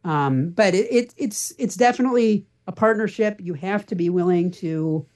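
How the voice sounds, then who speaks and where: A man's voice: high-pitched, moderate pace, a little mellow. A middle-aged man answering as a podcast guest in an earnest, explanatory, conversational way. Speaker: podcast guest, parenting blogger.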